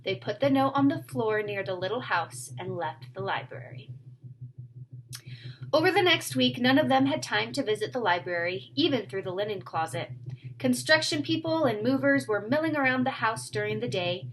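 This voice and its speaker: A woman's voice: a little high, animated, normal speed, slight background noise, low-quality recording. A woman narrating a children's book aloud, with casual asides to her listeners. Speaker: storyteller reading a book aloud.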